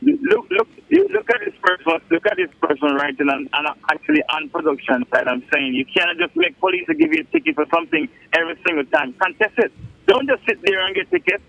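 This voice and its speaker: A Jamaican man arguing his point in a casual group chat, insistent and given to repeating himself. His voice sits high, swings widely, and has a gravelly edge. The sound is dull, dry and lo-fi. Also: wildly swooping pitch, fast, pushed and bright, no echo. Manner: insistent, repeats phrases, voice jumps high when pressing a point.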